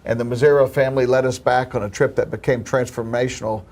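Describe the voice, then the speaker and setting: A film producer talks through his answers in an earnest, hopeful tone, sometimes restarting a phrase. He has a mid-pitched male voice. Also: steady tone, quick pace, a little mellow. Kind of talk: interview answers.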